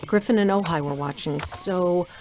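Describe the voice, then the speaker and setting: A woman's voice: lowish voice, moderate pace, a little mellow, a little hiss or hum, lightly acted, low-quality recording. A middle-aged woman playing a determined, wry mother who narrates her own adventure in an audio drama. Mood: tense, wry. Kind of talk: first-person scripted narration.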